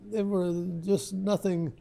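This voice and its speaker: An older man, reminiscing casually as an interview guest in a halting, modest, rambling way. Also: sits high, flat delivery, creaky, mellow. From Lebanon, Ohio, United States.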